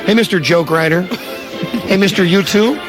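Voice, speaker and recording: A man hosting a radio show with casual, bossy, mock-gruff comic banter. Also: sits high, unhurried. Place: radio studio. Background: music.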